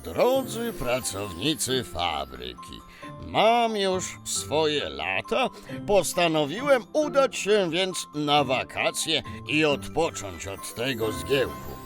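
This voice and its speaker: Man playing a slow, swooping old Santa Claus. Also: medium pitch, wildly swooping pitch, over music. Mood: weary, breezy. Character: weary old Santa Claus reading out his farewell letter. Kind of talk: theatrical letter reading for children's story.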